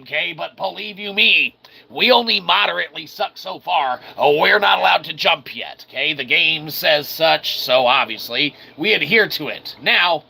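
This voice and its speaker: A man's voice: fairly high pitch, vocal fry, bright, forceful tone, husky. A man hosting a casual, rambling game livestream in a jokey, mock-earnest showman manner. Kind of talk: casual rambling let's-play commentary.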